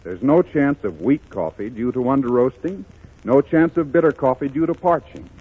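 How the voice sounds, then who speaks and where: Male radio announcer, animated sales read, husky tone, 1930s style, phone-thin reverberant recording. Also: medium pitch, normal speed, narrow, tinny audio.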